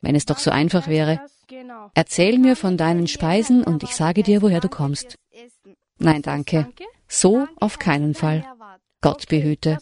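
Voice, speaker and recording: Brief: female narrator for a radio feature, a little low, measured and reflective. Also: a little mellow. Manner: reflective, questioning, measured delivery. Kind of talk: scripted documentary narration.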